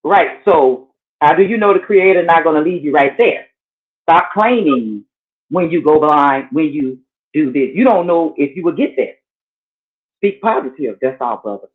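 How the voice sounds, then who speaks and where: A woman with a deep voice on a panel, speaking bluntly and urging positivity. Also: animated, moderate pace. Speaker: panel participant. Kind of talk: casual panel talk. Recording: tinny phone-like line.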